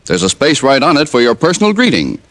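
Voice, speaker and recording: A man's voice: mid-range voice, huge pitch swings, quick pace, a crowd in the background. An American man reading a 1950s radio advertisement as a commercial announcer.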